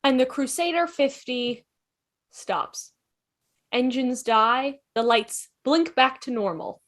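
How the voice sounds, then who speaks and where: A woman's voice: sits high, slow pace. A woman narrating scripted stage directions for a table read.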